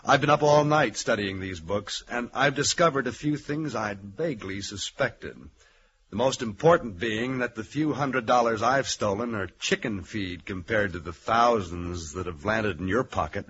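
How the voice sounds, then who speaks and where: An actor playing a callow young accountant and petty thief in a radio thriller, with an insinuating, self-satisfied manner. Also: male voice, fairly low pitch, moderate pace, a bit bright, natural voice. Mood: smug, amused. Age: young adult. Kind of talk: old radio thriller acting. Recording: dull radio recording, faint music.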